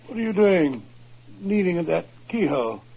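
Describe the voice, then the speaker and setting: A blustering, puzzled British gentleman with a high, husky voice. It is old-time radio mystery acting, earnest and exclamatory, on a muffled vintage recording. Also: big pitch swings, moderate pace, exaggerated voice. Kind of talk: 1940s radio drama acting.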